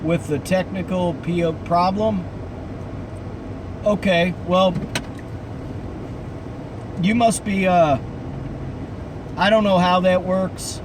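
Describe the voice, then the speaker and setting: A man with a somewhat high voice talks loosely to his livestream audience. He rambles and hesitates in relaxed slang, his throat a bit froggy. Loud outdoor noise, like vehicles, runs behind him. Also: takes it slowly, pauses often. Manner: rambling, hesitant, laid-back, slangy delivery. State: froggy throat, voice starting to give out. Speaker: livestream host and urban explorer. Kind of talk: casual livestream chat with viewers.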